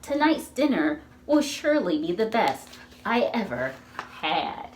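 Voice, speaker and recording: A woman voicing a kind, generous home cook in a picture book, with a slow, savouring delivery. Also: medium pitch, sing-song, a little hiss or hum. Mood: pleased.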